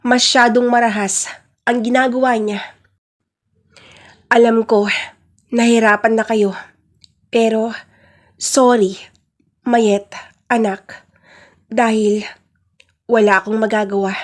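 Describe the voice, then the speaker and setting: Submissive mother played by a woman, sorrowful, apologetic and resigned, fairly high voice, for radio drama. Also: little pitch movement, takes it slowly, pauses often. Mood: sad, resigned. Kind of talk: Filipino radio-style family drama.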